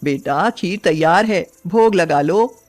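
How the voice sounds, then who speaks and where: A woman voicing a poor, tender-hearted old village woman in a storybook tale, speaking gently and devoutly. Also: fairly low pitch, takes it slowly. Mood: gentle, puzzled. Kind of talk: acted storybook dialogue.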